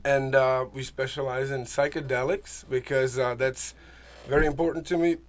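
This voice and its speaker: A man from the Czech Republic, a native Czech speaker, talking casually as an interview guest, halting, repeating himself and searching for words. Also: mid-range voice, flat delivery. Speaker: interview guest, small business owner. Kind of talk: casual, personal interview talk.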